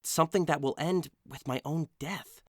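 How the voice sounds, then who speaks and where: Male actor as an earnest, reluctant young lover; somewhat higher voice, dry close recording. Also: normal speed, no room sound, close to an everyday voice. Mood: gloomy. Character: brooding lovesick young male nobleman. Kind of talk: audio drama acting.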